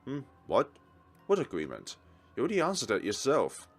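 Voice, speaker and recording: A man's voice: mid-range voice, wildly swooping pitch, moderate pace, breathy edge, faint music behind, voice pushed up, full character voice. A man voicing a bewildered young male detective in a visual novel, urgent, questioning and defiant. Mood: agitated, defiant.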